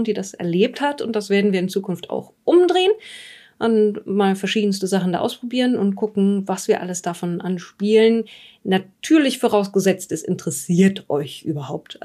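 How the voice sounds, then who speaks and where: Female podcast host, average pitch, conversational intro delivery. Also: darkish tone. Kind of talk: casual spoken introduction.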